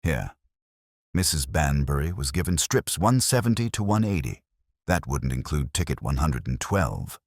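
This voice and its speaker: A man's voice: a bass voice, very animated, normal speed, dry recording, studio quality, heavily acted. A man voicing a helpful village vicar in a mystery story, careful and factual.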